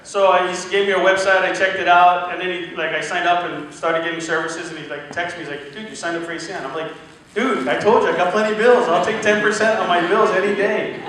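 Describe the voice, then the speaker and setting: A man's voice: high voice, fairly even intonation, fast, fairly bright tone. A man giving a casual testimonial speech to a room at a business event, telling his story with quoted dialogue. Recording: echoey room from far off, faint noise.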